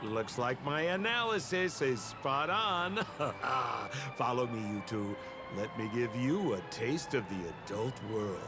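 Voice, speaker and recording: An actor playing a sly, smooth-talking young swindler in a video game, flamboyant and ingratiating like an oily salesman. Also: medium pitch, lively intonation, slow. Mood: sly, teasing. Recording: music behind the voice.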